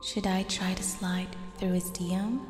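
A woman plays a lonely, possessive teenage schoolgirl in an intimate ASMR roleplay. Her delivery is breathy and unhurried, slipping often into whispers. The voice rings in long, echoey reverb over faint music. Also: on the low side, level tone, a little mellow, natural voice. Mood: anxious brooding.

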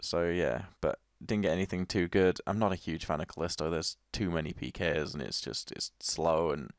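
A man with a low voice and a slight illness talks through his game session in a loose, rambling way, restarting his sentences and swearing casually. The recording is dry and close, with no room sound. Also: normal speed, no echo. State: a little ill. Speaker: game video commentator. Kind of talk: casual gameplay commentary.

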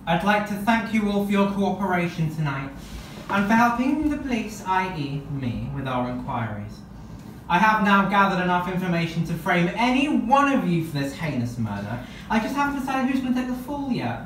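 A woman's voice: medium pitch, big pitch swings, normal speed, close to an everyday voice. Young woman playing a smug crooked cop, echoey room. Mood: smug, gloating. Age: young adult. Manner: gloating, formal. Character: smug, scheming crooked police officer hungry for promotion. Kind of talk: reading a murder mystery party script. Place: small room at a party. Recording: echoey room, distant camera, background noise.

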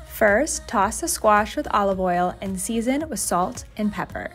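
A woman's voice: mid-range voice, lively intonation, unhurried. A young woman narrating a home cooking video in a chatty, self-deprecating way, over light background music. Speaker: cooking vlogger. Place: home kitchen.

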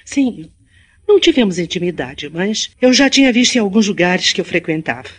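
A woman's voice: medium pitch, very animated, moderate pace, husky. An actress playing a composed, kind young city woman in a Brazilian radio drama, with formal, careful diction. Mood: reflective, earnest. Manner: formal, carefully enunciated speech.

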